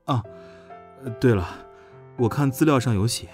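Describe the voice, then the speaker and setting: A man's voice: medium pitch, moderate pace, darkish tone, soft background music, lightly acted. A man playing a playful, teasing Alpha general under thirty in a modern audio drama. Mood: friendly, earnest.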